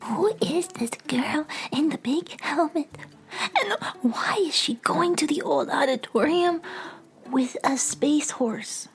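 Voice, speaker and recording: An actress playing a naive, curious young music student, wondering and halting, in a children's radio drama. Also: female voice, high voice, lively intonation, exaggerated voice.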